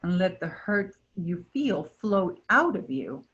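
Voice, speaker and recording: A woman plays a gentle, wise river spirit in a staged play. She speaks very slowly and instructively, in a lowish voice. The sound is a little dull and lo-fi. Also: very animated, breathy edge, full character voice. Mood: tender, encouraging. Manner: measured, earnest, instructive. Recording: dull lo-fi recording.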